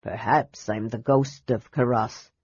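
A woman voicing a sly, calm old male dwarf, over 60, with a low, quiet, wry delivery in a storybook reading. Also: a little slow, husky, dry recording, dull audio, heavily acted.

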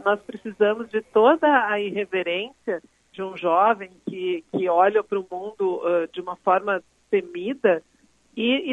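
A woman's voice: mid-range voice, no echo. A woman guest expert explaining ideas fluently on a radio show, stumbling over first words, heard over a phone line. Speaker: guest expert on emotional intelligence. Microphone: phone call into the studio. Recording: tinny phone line, dry.

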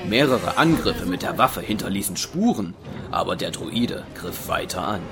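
A man's voice: sits low, big pitch swings, moderate pace. A man narrating an audio drama as a scripted storyteller. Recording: music behind the voice.

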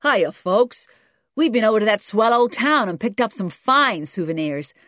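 A woman's voice: mid-range voice, big pitch swings, moderate pace, a bit bright, dry recording, studio quality, pitched above the performer's own voice. A woman voicing a boastful, burly American father in a storybook, hearty and crass in manner.

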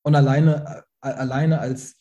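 Young man, very dark tone, halting and thoughtful delivery, video call. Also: mid-range voice, fairly even intonation. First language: Farsi. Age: young adult. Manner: hesitant, many fillers and restarts. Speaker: actor, invited guest. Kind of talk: online panel talk.

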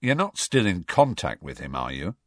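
A man's voice: deep voice, big pitch swings, normal speed, dry recording, studio quality. A man voicing a jealous, suspicious teenage schoolboy in an audiobook, with an accusing manner.

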